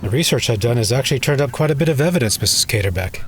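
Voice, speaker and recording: A man's voice: mid-range voice, animated, rapid-fire, music in the background, close to an everyday voice. A man playing a businesslike, reassuring lawyer in an audio drama, with a professional manner. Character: brisk, businesslike male lawyer.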